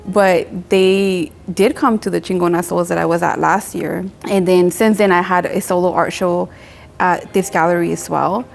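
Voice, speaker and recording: A young adult woman from Mexico, a tattoo artist, talking casually in a relaxed, rambling way with lots of filler words. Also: on the low side, vocal fry. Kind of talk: casual interview monologue.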